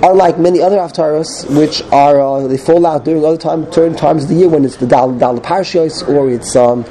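A man's voice: on the high side, a little fast, warm tone, rough recording. A man with a cold teaching a casual Torah class, often restarting his phrases and mixing in Hebrew terms. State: has a cold.

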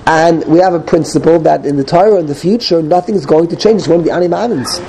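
A man's voice: on the high side, fast talker, warm tone, a little hiss or hum, rough recording. A man with a cold teaching a casual Torah class, often restarting his phrases and mixing in Hebrew terms. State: has a cold.

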